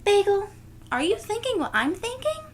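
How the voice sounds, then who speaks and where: A woman voicing an excitable, curious little girl from a storybook, eager and exclamatory. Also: very high-pitched, moderate pace, slight background noise, voice pushed up. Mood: eager, scheming. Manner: exclamatory, eager, wide-eyed. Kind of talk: storybook character voice in a read-aloud.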